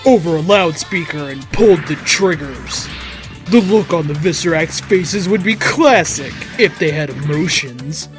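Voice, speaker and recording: A man's voice: fairly high pitch, expressive, measured pace. A man reading a story aloud as a dramatic narrator. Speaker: host reading fan fiction aloud. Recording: music under the voice.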